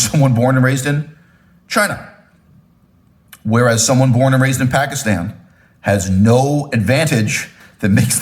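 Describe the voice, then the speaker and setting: A man delivers a spoken commentary in an emphatic lecturing tone, at a medium pitch that leaps upward on the words he wants to land. He talks straight to his viewers and keeps checking in with "right?" and "okay?", moving between slow, weighted phrases and quick asides. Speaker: channel host. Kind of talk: spoken political commentary monologue.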